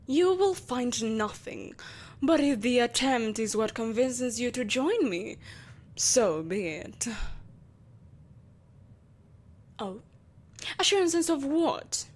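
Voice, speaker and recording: A woman playing a vengeful, possessive empress in an audio roleplay, speaking in a haughty, theatrical and deliberately menacing way. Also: a little high, heavily acted. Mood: haughty, grudging. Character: vengeful, possessive evil empress, soulless, imperious, coaxing a captive. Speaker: voice actor. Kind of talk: scripted audio roleplay acting.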